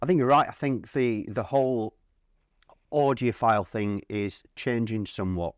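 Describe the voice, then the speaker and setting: A man's voice: mid-range voice, normal speed, clean tone, no room sound, clean studio sound. A man interviewing in a casual, informal chat, repeating words as he frames his thoughts and questions. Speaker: interviewer for a hi-fi channel. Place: at a hi-fi trade show.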